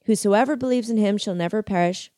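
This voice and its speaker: Fiery old biker preacher with a Southern drawl, high and fervent, as a woman narrator puts him on; dry close sound. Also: quick pace, mellow, no echo, close to an everyday voice. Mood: preaching. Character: fiery small older male biker preacher.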